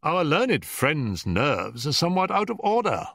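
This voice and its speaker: An urbane, unflappable gentleman detective performed by a male narrator, wry and polite. The pitch sits above a natural male voice and moves expressively, as in a classic storybook reading. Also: sing-song, moderate pace, no echo, studio quality. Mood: amused.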